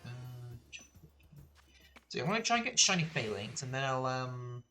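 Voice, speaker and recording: A man's voice: mid-range voice, sing-song, moderate pace, sharp tone, faint music behind. A young man casually chatting on a live game stream, rambling and self-deprecating, laughing now and then.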